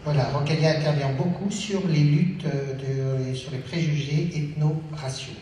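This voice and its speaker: A man moderating a stage debate, introducing the guests in a halting way with many fillers. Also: a little high, steady tone, mellow, recorded from a distance, slight background noise. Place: theatre stage. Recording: echoey hall, picked up from afar.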